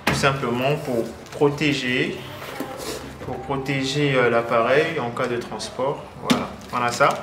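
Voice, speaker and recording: A man casually presenting and unboxing a product as a video host, with halting phrasing and frequent filler sounds. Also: mid-range voice, steady tone, echoey room, noisy background.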